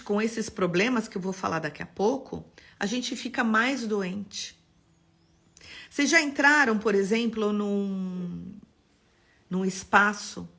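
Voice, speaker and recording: Woman, Paulista accent, architect hosting a live lesson; bright, expressive delivery. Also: medium pitch. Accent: Paulista Brazilian Portuguese. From São Paulo, Brazil. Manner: expressive, greets viewers by name. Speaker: architect teaching a live class.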